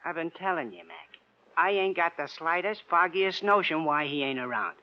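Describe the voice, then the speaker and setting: An actor playing a talkative, sly New York garage mechanic with a streetwise, rambling manner in an old radio crime drama. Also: male voice, fairly high pitch, moderate pace, natural voice. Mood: wisecracking. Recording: dull, noisy old radio recording.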